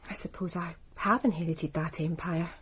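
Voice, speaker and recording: A woman's voice: fairly low pitch, a little hiss or hum. An actress playing a poised woman who runs a racing business, speaking in a composed, dry way in a radio drama. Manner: composed, dry, crisply assured. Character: poised woman racing executive, cool and cutting.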